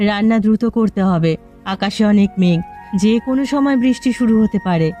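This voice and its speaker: A woman's voice: mid-range voice, lively intonation, fairly quick, dark tone, soft background music, close to an everyday voice. A woman voicing a village mother in a Bengali cartoon. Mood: worried. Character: stern, scolding village mother, later a worried poor wife. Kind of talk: cartoon voice acting.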